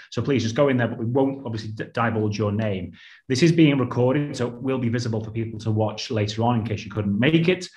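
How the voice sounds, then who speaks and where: A man in his thirties hosting a webinar on a video call, chatty and full of fillers and restarts. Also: medium pitch. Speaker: webinar host. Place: indoors, office, on a video call.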